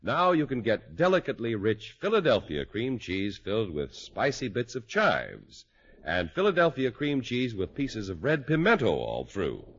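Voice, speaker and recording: A man's voice: fairly low pitch, fairly bright tone. An American man announcing and reading adverts in scripted 1950s radio style. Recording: dull vintage radio broadcast recording.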